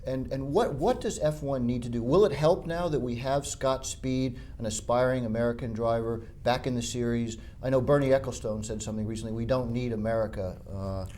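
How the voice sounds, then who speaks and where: A man's voice: mid-range voice, fairly quick, hardly any pauses, warm tone, a little hiss or hum. A man hosting an interview, eager and stumbling over his words as he asks questions. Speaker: interviewer and host.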